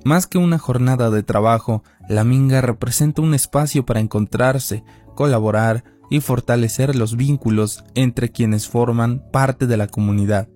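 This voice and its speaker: A man reading a scripted radio report in a measured, even way. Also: medium pitch, faint music behind. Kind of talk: scripted narration.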